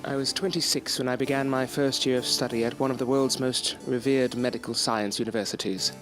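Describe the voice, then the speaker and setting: Man acting wistful, erudite gentleman storyteller, music behind. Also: medium pitch, steady tone, fairly quick, natural voice. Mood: wistful, reflective. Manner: formal, florid, earnest. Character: wistful, erudite gentleman recalling his student days. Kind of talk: first-person audio drama narration.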